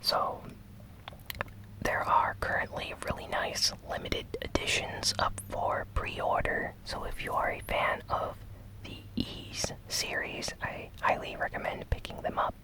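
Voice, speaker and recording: Slow whispered ASMR gaming news host. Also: poor audio. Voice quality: breathy unvoiced whisper. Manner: slow, soft, unhurried delivery.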